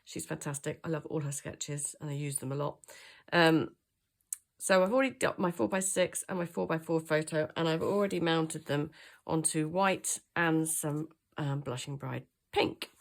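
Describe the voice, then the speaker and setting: Throaty woman with a gravelly cold. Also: deep voice, steady tone, normal speed. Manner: thinks aloud, hesitant with many ums. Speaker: craft channel host. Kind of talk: chatty hands-on craft tutorial.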